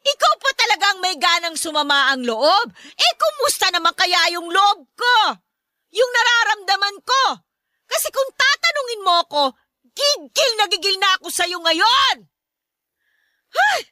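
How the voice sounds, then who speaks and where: Radio drama mother, played by a woman: very high, piercing voice, exasperated scold, dry recording. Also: very animated, a little slow, sharp tone, dry recording, full character voice. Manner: scolding, shrill, emphatic. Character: nagging, exasperated Filipino mother of grown sons. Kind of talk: Tagalog radio drama acting.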